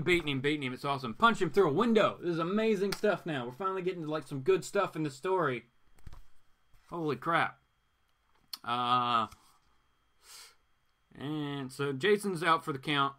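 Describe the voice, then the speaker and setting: A man with a bright, fairly high voice hosts a casual story reading. His pitch moves around a lot as he narrates and drops in slangy, wry asides. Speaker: host reading comic books aloud. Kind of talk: casual dramatic reading with commentary.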